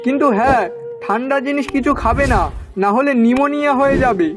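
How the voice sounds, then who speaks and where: A man voicing a brisk cartoon village doctor who gives advice in a gently scolding, admonishing way. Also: very high-pitched, music in the background. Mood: scolding, advising. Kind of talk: cartoon voice acting.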